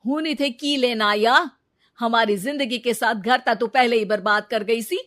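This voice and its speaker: A woman's voice: fairly high pitch, moderate pace, a bit bright, lightly acted. Woman playing a stern young Punjabi husband. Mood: angry. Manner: indignant, stern. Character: angry betrayed young husband, stern and unforgiving.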